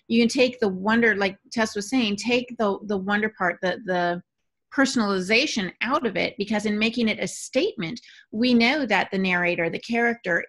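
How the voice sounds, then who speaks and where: Woman giving encouraging advice, medium pitch. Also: a bit bright. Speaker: podcast panelist. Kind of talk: conversational podcast advice.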